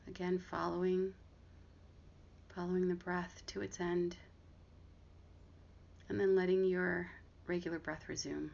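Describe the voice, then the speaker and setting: A woman guiding a body scan meditation, giving gentle, unhurried instructions with long pauses between phrases. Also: on the low side, little pitch movement. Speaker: meditation guide and therapist. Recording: dull lo-fi audio with clear background noise.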